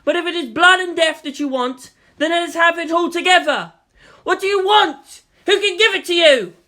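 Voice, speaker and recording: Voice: extremely high pitch, moderate pace, pushed and bright, voice pushed up. A reader voicing an impassioned young man preaching to a crowd in a zealous, declamatory way, in a dramatic story reading. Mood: fierce, rousing. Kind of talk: dramatic fan fiction reading.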